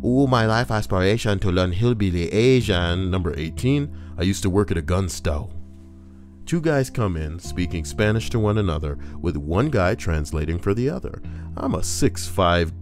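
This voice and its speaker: A man's voice: sits low, a little mellow. A man casually reading forum posts aloud on a livestream, breaking in with crude, joking asides.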